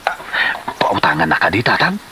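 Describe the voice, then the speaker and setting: A man acts out a smooth-talking gambling husband in an Ilocano comedy drama. His bright voice swings hugely up and down as he coaxes and exclaims. There is faint noise behind him. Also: low voice, huge pitch swings, normal speed, full character voice. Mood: coaxing.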